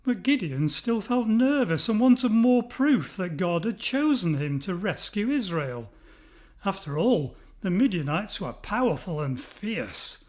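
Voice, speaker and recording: A man's voice: high-pitched, big pitch swings, measured pace, darkish tone. A man narrating a scripted children's story expressively, as a storyteller.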